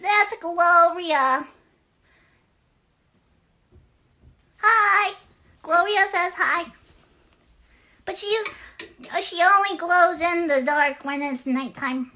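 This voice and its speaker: A woman voicing an excitable, chatty little girl puppet in an improvised live children's show, rambling in a childlike, hesitant way. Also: very high voice, slow, heavily acted. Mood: delighted.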